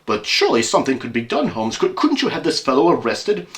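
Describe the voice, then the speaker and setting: A man playing an anxious, loyal gentleman friend in an amateur audio drama, speaking in an earnest, alarmed, exclamatory way. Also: mid-range voice, huge pitch swings, fast. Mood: worried, earnest.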